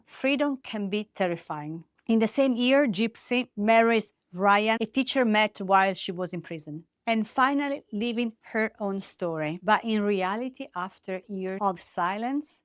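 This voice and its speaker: A woman's voice: mid-range voice, no room sound, studio quality. A woman with an Italian accent, a native Italian speaker, hosting and narrating scripted true-crime stories in short, dramatic phrases. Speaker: host of a true-crime series.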